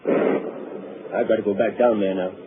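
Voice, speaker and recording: A man's voice: on the low side, steady tone, a little slow, lightly acted. An actor playing an uneasy male ward attendant who talks in a blunt, working-class way, in a 1940s radio drama. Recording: tinny old radio broadcast, faint hiss.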